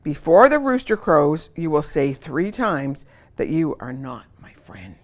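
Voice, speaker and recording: A woman voicing a calm, firm male teacher in a children's storybook, speaking in an earnest, measured way. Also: sits low.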